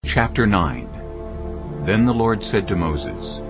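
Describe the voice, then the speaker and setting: A man narrates scripture in a deep, grave voice with expressive rises and falls. The audio is a bit muffled, and music plays steadily underneath. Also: slow pace.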